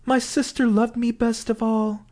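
Casting: a man doing an eerie fairy-tale songbird, chanting high and sing-song. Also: expressive, normal speed, a little mellow, lightly acted. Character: magical songbird, eerie and accusing.

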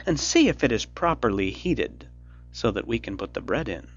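A man voicing a cruel, scheming old female witch in a storybook reading, with a shrill put-on voice over faint music. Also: sing-song, moderate pace. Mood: sly. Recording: dull lo-fi recording with faint music.

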